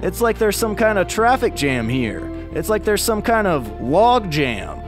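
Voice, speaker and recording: Man playing an earnest young gator, high-pitched. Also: big pitch swings, measured pace, over music. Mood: casual. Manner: earnest, casual. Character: friendly young male gator, earnest and concerned.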